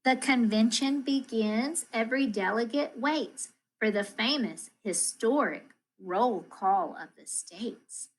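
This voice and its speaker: A woman reads a rhyming children's storybook aloud with expressive, deliberate, rhythmic phrasing, like a school teacher. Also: a little high, rough recording. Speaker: school math coach reading aloud.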